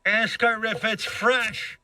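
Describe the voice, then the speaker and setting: A man voicing a fussy, nagging elderly mother in a blunt, demanding, drawn-out way on a radio show. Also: sits high, little pitch movement, pushed and bright, slight background noise, voice pushed up, exaggerated voice.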